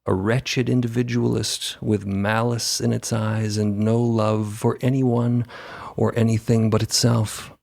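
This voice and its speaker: A man performing a grave, accusing narrator of a dark superhero origin, reading scripted lines in a portentous, deliberate way. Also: lowish voice, level tone, vocal fry, no echo. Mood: ominous, scornful.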